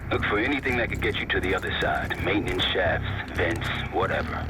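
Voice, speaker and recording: An actor playing a watchful operative in a video game, guiding a teammate with clipped, alert tactical warnings. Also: male voice, sits low, lively intonation, brisk, low-quality recording. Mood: tense, alert. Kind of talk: video game cutscene acting. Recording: music behind the voice.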